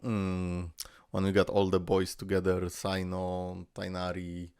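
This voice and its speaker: A man's voice: deep voice, monotone, a little slow, darkish tone, clear, resonant tone, no room sound, clean studio sound. A young man hosting a casual reaction video, joking loosely, swearing and laughing often.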